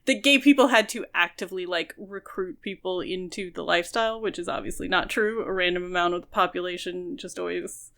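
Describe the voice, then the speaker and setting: A woman's voice: lowish voice, brisk, few pauses, a bit bright. A woman co-hosting a podcast, chatting casually and emphatically about a book, with informal phrasing and lots of "like". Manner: informal, emphatic, peppered with "like" and mild swearing. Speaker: podcast co-host. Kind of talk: casual book discussion chat.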